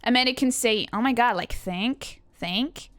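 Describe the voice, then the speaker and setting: A young woman talks her way through a casual stream lesson, hopping between chat messages and her own points. Her voice sits on the high side and sounds dry and close, with no room around it. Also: unhurried, fairly bright tone, no room sound. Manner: chatty, reads chat aloud, jumps between topics, swears. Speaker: streamer teaching accents.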